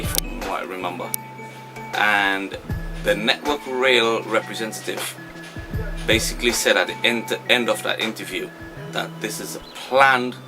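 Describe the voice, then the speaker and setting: A man in his forties, a shop owner, speaks in a fairly low voice. He talks earnestly and ruefully, leaning on fillers. Music plays clearly beneath him. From Trinidad. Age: 40s. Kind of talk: interview answers.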